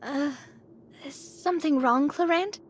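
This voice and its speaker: A woman's voice: high-pitched, a little slow, a little hiss or hum. A woman playing an earnest, keen young adventurer who cares for her companions in a video game. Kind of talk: video game character acting. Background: music.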